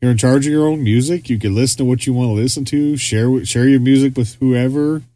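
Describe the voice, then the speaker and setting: A man's voice: medium pitch, quick pace, mellow, dry recording. A man co-hosting a podcast in casual chat, rambling with lots of fillers and restarts. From Arizona, US. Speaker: podcast co-host.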